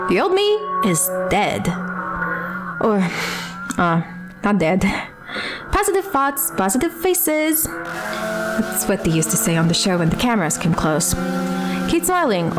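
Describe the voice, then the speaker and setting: A woman playing a chatty, self-mocking former reality show housewife, rambling theatrically and sarcastically in an audio drama diary. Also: medium pitch.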